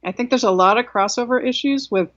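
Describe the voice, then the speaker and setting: A woman's voice: on the high side, fast, fairly bright tone, no echo. A woman in her sixties, raised in South Korea, reflecting at length as a guest author on a video call, with many "you know"s and restarts. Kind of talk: casual interview on a video call. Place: home room indoors. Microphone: laptop webcam mic on a video call. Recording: dull, dry video-call audio.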